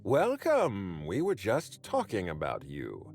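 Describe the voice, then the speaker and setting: An actor playing an unhinged, doting man in a video game, soothing and theatrical with a sinister calm. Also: lowish voice, takes it slowly, faint music behind, heavily acted. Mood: welcoming, theatrical. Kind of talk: dramatic video game acting.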